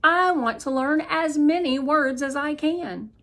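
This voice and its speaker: An earnest, wistful preteen girl voiced by a woman tells her own storybook tale. Her voice sits fairly high, and she takes her time with the words. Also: unhurried, pushed and bright, exaggerated voice. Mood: earnest, eager.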